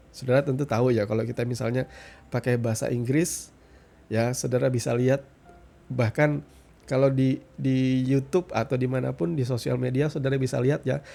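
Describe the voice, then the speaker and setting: A man giving an informal sermon as a devotional podcast host, explaining conversationally with frequent check-in tags and pauses for emphasis. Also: medium pitch, creaky, warm tone. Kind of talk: informal sermon, Bible reflection.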